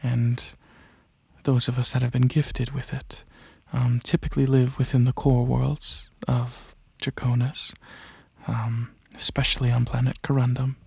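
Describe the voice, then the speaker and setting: A man playing a gentle dragon alien prince in a soft-spoken ASMR roleplay, talking to the listener in a hesitant, soothing way with many pauses. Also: mid-range voice, flat delivery, gravelly, slightly rough, no room sound, dull audio, full character voice. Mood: calm, explaining. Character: gentle dragon alien prince, centuries-old royal researcher, softly coaxing.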